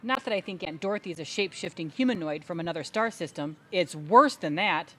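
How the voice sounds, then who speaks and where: A woman's voice: fairly low pitch, animated, brisk, slight background noise, natural voice. A woman playing an indignant, crusading adult sister in a comedic audio play, speaking in a dramatic, emphatic, speechifying way. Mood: grave, intense. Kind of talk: comedic audio theatre acting.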